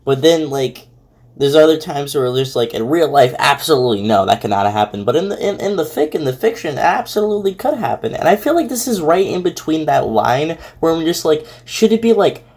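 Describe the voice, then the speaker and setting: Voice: mid-range voice, expressive, fairly quick, a little mellow. Casual, hesitant commentator thinking aloud. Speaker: reaction commentator.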